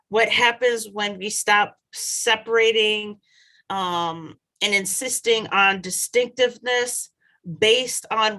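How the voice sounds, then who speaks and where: Bright-voiced woman lecturing on a video call. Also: mid-range voice. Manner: measured, thoughtful, with occasional restarts. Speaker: scholar explaining her theory. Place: home study with bookshelves. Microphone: headset on a video call.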